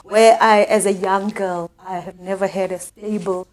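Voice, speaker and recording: A woman's voice: mid-range voice, steady tone, moderate pace, darkish tone, low-quality recording. A middle-aged South African woman, a guest house owner and coach, telling her life story in an interview with earnest, emphatic bursts. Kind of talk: conversational interview.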